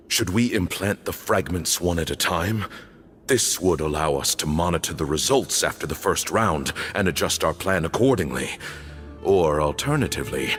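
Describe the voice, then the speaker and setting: Deep, stern temple leader. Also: male voice, a bit bright, exaggerated voice. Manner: formal, measured, grave. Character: stern temple leader, bitter, solemn and commanding. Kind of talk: dramatic video game voice acting. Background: background music.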